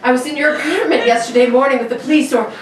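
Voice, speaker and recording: A woman playing a nervous, desperate man in an old-time radio suspense play, pleading and halting. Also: sits high, steady tone, natural voice. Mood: apologetic, desperate. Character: nervous, desperate, sleepless male neighbour obsessed with a corpse. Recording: echoey room from far off, faint noise.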